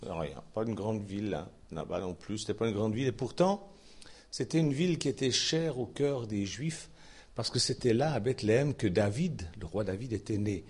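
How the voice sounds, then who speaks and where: Male Swiss French lay preacher, folksy and chatty, husky, very animated pitch. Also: normal speed. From Switzerland. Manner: folksy, chatty asides, lively rising emphasis. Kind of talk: informal Bible sermon.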